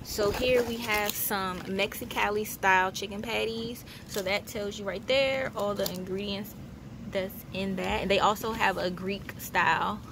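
A woman vlogger narrating a haul casually at home, in a matter-of-fact, halting way as she lists items. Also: mid-range voice, steady tone, background noise. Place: indoors at home, carpeted room.

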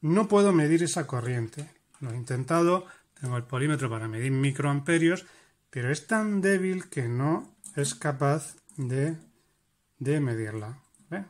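A man's voice: a little high, lively intonation, unhurried. Man teaching electronics, explaining step by step. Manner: explanatory, checks in with frequent "vale?". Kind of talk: hands-on lesson demonstrating and calculating.

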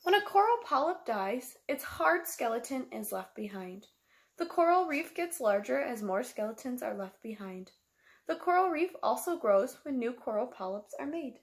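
A woman's voice: a little high, sing-song, moderate pace. A woman, reading a picture book aloud like a teacher, explaining simply to young children and asking them questions. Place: small room at home, indoors.

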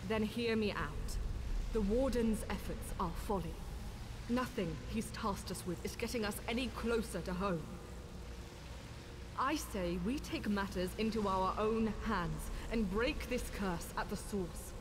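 A woman's voice: medium pitch, a little slow, background noise, close to an everyday voice. A woman as an earnest scholarly vigilant, cavernous reverb. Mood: earnest, determined. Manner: articulate, formal, earnest. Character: determined, scholarly female vigilant, earnest and persuasive. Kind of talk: fantasy video game voice acting.

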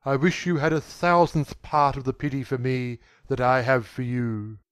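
A man voicing a young gentleman who speaks rapidly and with authority, at times pleading. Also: mid-range voice, fairly even intonation, smooth, no room sound, lightly acted. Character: young gentleman, commanding yet anguished.

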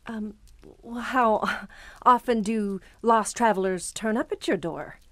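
A woman's voice: medium pitch, slow, a bit bright. A woman playing an anxious, suspicious young honeymooning wife in an audio drama. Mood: uneasy, polite. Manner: hesitant, anxious, insistent.

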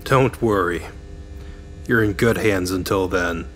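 An actor playing an authoritative male police chief in an audio drama, formal and quietly menacing. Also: sits low, slow pace. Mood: veiled threat. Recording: faint mains hum.

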